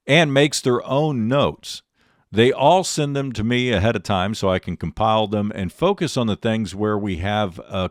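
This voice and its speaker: A middle-aged man hosting a podcast, delivering a scripted instructional monologue in a measured, articulate presenter's way. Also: on the low side, no echo, clean studio sound. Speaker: podcast host and radio talent coach.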